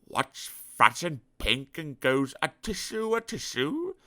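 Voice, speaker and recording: A smug know-it-all pig drawls every word slowly and loudly, sneering through a voice that swings widely up and down and rings very bright, as a male storyteller puts him on. He sounds pleased with himself and rude, a storybook bully of a pig. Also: a little high, very animated, voice pushed up, heavily acted. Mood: worried. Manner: slow, drawn-out, loud, taunting.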